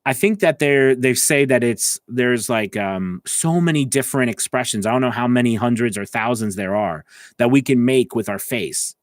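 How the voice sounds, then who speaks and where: A man's voice: mid-range voice, moderate pace, no room sound. A man with a mid-range voice gives informal coaching to livestream viewers. He speaks straight to the listener in an encouraging, conversational manner. The sound is dry, with very little room in it. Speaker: voice acting coach hosting a live stream.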